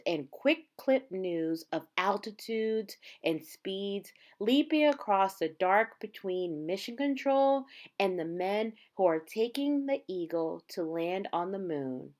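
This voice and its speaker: A woman reading a children's picture book aloud, with measured, dramatic phrasing and drawn-out words. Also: mid-range voice. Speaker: storybook reader.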